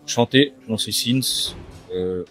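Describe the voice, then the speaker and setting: Chill young guy talkin slang over food, music playin low behind him. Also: slow, bright, forceful tone. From France. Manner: laid-back, slangy, repeats himself. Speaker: rapper guest. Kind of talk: casual interview chat. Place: restaurant.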